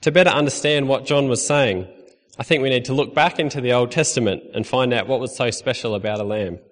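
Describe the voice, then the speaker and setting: A man's voice: medium pitch, brisk, in a large hall. A young man from Australia with an Australian accent, giving a friendly, conversational sermon with touches of light humor. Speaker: lay preacher. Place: church hall.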